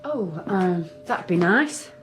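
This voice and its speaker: A woman's voice: lowish voice, animated, takes it slowly. A woman playing a firm but weary, guarded police inspector in a naturalistic radio drama. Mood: hesitant, concerned. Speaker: actor in a radio crime drama. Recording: audible background noise and ambience.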